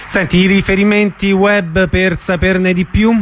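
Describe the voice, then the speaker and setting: A man's voice: sits high, little pitch movement, a little slow, fairly bright tone, a little hiss or hum. A male radio host. He speaks in a conversational interviewer's style, introducing and asking questions.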